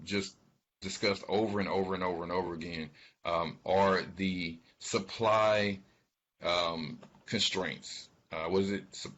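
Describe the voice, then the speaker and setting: A man giving informal financial commentary, speaking haltingly and emphatically, repeating words for stress. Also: low voice, fairly bright tone. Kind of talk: informal spoken commentary on an earnings call. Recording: dull, lo-fi sound.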